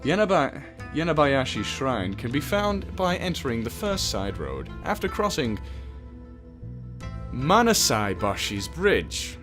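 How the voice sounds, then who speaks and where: Male let's player, very lively pitch, casual and sweary, jokey asides, game music clearly behind. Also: unhurried. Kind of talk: casual gameplay commentary reading visual novel text aloud.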